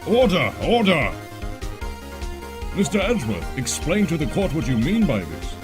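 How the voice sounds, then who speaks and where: A man's voice: on the high side, wildly swooping pitch, fairly quick, music in the background. A man voicing an authoritative but easily confused judge in a courtroom game fandub, with a solemn, commanding manner.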